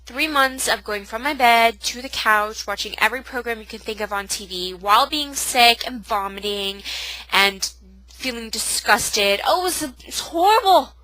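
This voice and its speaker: A woman's voice: fairly high pitch, animated, normal speed, bright, forceful tone, poor audio. A young woman with a cold, sounding nasal and sniffly, chatting in a casual, self-mocking home vlog. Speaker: vlogger. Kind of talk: casual pregnancy update vlog.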